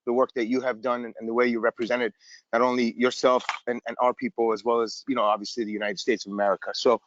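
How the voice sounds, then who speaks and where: A man's voice: mid-range voice, level tone, fast, a little hiss or hum. Earnest man asking a rambling question. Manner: earnest, effusive, stumbling repetitions. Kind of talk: webinar audience question. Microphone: video call. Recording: dull dry video-call audio.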